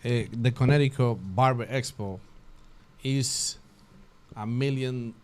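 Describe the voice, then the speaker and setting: A man hosting an interview, asking questions in friendly, halting phrases. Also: mid-range voice, steady tone, darkish tone, smooth. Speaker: podcast host. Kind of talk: casual interview chat.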